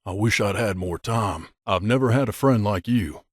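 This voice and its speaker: A man voicing an earnest, wounded wasteland companion in a video game, speaking sincerely and emotionally with hesitant pauses. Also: fairly low pitch, no echo, studio quality, natural voice. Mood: tender, sincere.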